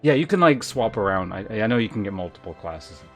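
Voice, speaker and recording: Male game streamer, lowish voice, wry and rambling, off-the-cuff chat, light music in background. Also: very animated, fast. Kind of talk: casual livestream chat and game commentary.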